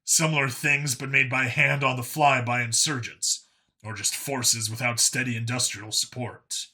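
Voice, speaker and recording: Man playing a blunt, raspy human detective. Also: medium pitch, steady tone, moderate pace, pushed and bright. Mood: explaining. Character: gruff, blunt human male detective, irritable and defensive. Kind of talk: audiobook character acting.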